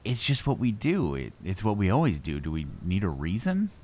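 A man playing an easygoing, friendly young camper in an audio drama, talking casually and a little haltingly. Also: fairly low pitch, wildly swooping pitch, darkish tone. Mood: friendly, unsure. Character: easygoing, friendly young male camper who loves Frisbee.

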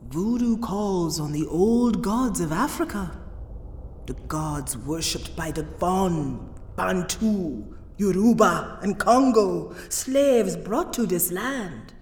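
Slow, imperious voodoo queen in echo. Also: on the low side, expressive, a little mellow. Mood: solemn, mysterious. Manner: cryptic, imperious, folksy dialect grammar. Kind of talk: radio drama acting. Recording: roomy echo, long reverb, music underneath.

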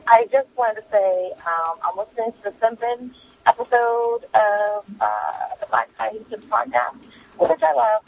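A hoarse woman chats casually on a voicemail, hesitating and rambling as she goes. The line is very narrow and phone-like. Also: fairly high pitch, sing-song, normal speed. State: hoarse from laryngitis. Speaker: listener calling a podcast voicemail. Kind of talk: casual chat.

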